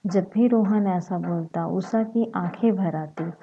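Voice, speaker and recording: A woman with a slightly husky voice, narrating a short story. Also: on the low side, takes it slowly, dull audio, background noise.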